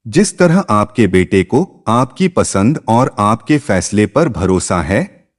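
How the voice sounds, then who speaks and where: A man's voice: on the low side, big-room sound, full character voice. A man voicing a courteous, agreeable middle-aged father of a bride in a narrated moral story. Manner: polite, respectful.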